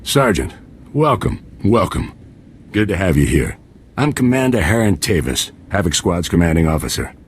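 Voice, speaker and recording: A man's voice: deep voice, big pitch swings, normal speed, slight background noise. A man playing a confident, welcoming special forces commander in a video game, speaking with assured, hearty formality. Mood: welcoming, proud.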